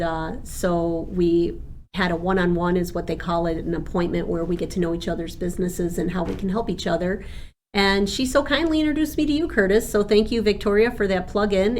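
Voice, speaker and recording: A woman's voice: lowish voice, slight background noise. A woman from Argentina who grew up speaking Spanish, explaining things as an interview guest in a chatty, earnest, conversational way. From born in Argentina. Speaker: insurance agent, interview guest.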